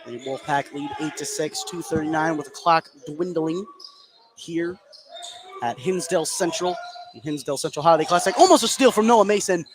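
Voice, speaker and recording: Higher-voiced American man calling basketball over loud noise. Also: normal speed. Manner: running, descriptive call of the action. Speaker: play-by-play radio commentator. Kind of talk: live basketball commentary. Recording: loud gym noise behind live broadcast. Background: noisy indoor basketball gym.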